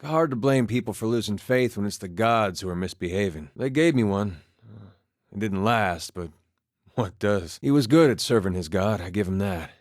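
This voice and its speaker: Guy voicing a folksy farmer war vet for a video game, lowish voice, dry humor, easygoing but carrying some grief, really dry close recording. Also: lively intonation, moderate pace, no room sound, lightly acted. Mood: dry, wry. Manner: folksy, understated, dryly humorous, drops words. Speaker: video game companion character. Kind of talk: video game voice acting, conversational dialogue.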